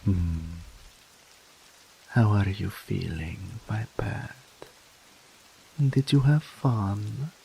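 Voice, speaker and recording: Deep growly incubus demon guy, a man doing the voice, super slow, purrs and whispers, possessive and doting. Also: gravelly, dark tone, slight background noise, full character voice, poor audio. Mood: teasing. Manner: slow, purring, frequently dropping to a whisper. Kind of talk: audio roleplay.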